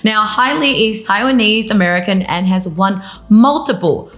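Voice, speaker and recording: A woman hosts an interview show. She introduces the guest from a script, then chats and asks questions in a loose, rambling way. Her voice sits at a middle pitch. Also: moderate pace, fairly bright tone, soft background music. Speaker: podcast host. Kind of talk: casual interview hosting.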